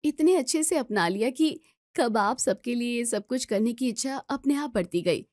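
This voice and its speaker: A woman's voice: fairly high pitch, lively intonation, normal speed, a little mellow, dry recording, clean studio sound, pitched above the performer's own voice, close to an everyday voice. A woman voicing a cheerful, dutiful daughter-in-law in her twenties in an audio story, eager, sweet and deferential. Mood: sweet, grateful.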